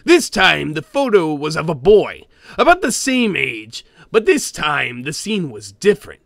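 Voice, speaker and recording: A man voicing a greedy, grumpy cartoon crab boss who reads a horror story in a theatrical, expressive way. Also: on the high side, measured pace, gravelly, no echo, studio quality, exaggerated voice. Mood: dramatic, ominous. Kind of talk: dramatic creepypasta reading.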